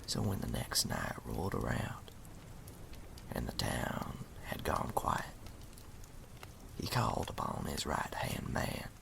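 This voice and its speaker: A man playing a wry animal storyteller in a campfire ASMR roleplay, speaking slowly and ominously in a gravelly half-whisper. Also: very deep voice, huge pitch swings, a bit bright, exaggerated voice. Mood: ominous storytelling. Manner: slow, deliberate, folksy, ominous.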